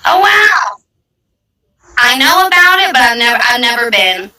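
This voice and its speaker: A woman's voice: a little high, big pitch swings, a little slow, sharp tone. A woman, chatting playfully and teasing her conversation partner. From Virginia, United States. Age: 20s. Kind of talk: casual chat. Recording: echoey, distant, busy background. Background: dog barking.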